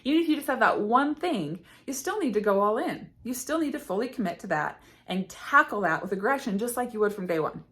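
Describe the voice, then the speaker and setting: A woman recovery coach talking casually to camera, her voice slightly hoarse as she loses it, earnest and full of fillers and restarts. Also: mid-range voice, lively intonation, fairly quick, hardly any pauses, fairly bright tone. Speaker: eating disorder recovery coach.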